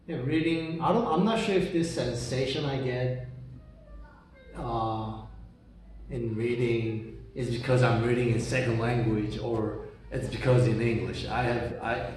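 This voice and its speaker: A man's voice: mid-range voice, a little mellow, a little hiss or hum. A young man whose first language is Korean, rambling casually with many restarts and fillers. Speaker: reader talking about books. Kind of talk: casual monologue. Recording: very echoey room, voice from far off.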